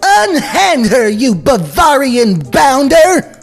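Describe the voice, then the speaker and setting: A frantic cartoon rabbit hero, pitched very high. The delivery is theatrical and swings wildly, full of bombast and melodrama, as in a comedic script read. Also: male voice, big pitch swings, slow, gravelly, soft background music, exaggerated voice. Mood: indignant bluster.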